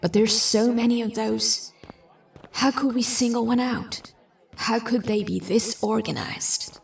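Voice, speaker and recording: A woman's voice: on the high side, fairly even intonation, a little slow, gravelly, far from the mic. A woman playing a determined, sceptical police detective in a science fiction audio drama, musing and dry in her delivery. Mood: frustrated musing. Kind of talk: audio drama dialogue and investigative monologue.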